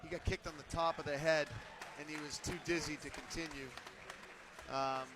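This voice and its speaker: A man's voice: a little high, steady tone, normal speed, crowd noise, noisy background. A man doing live ringside commentary on a kickboxing bout, exclaiming at the big hits. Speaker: fight commentator.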